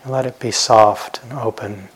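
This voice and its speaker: A man guiding a meditation as a teacher, speaking gently in short, unhurried phrases with long pauses. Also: mid-range voice, steady tone, slightly rough. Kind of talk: guided meditation instruction.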